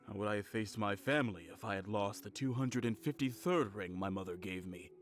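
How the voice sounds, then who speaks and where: A man's voice: lowish voice, fairly quick, natural voice. A man voicing a rich, mild young male innkeeper in a video game, polite and earnest.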